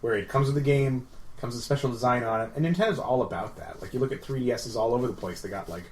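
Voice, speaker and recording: A man's voice: medium pitch, fast, a little mellow, roomy sound. A man co-hosting a podcast, chatting casually about video games in a rambling, filler-heavy way. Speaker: podcast co-host. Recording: noisy room with ambient sound.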